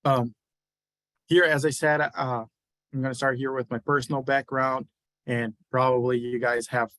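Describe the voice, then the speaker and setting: A man's voice: medium pitch, flat delivery, normal speed, a bit bright, no room sound. A man from Brazil with a Brazilian accent, giving a webinar lecture as a university beef cattle specialist, with many hesitant fillers. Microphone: video call.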